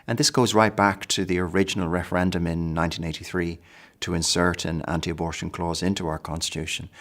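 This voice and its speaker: An Irish man speaking in a measured documentary interview, pausing with fillers and restarts. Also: deep voice, fairly even intonation, a bit bright.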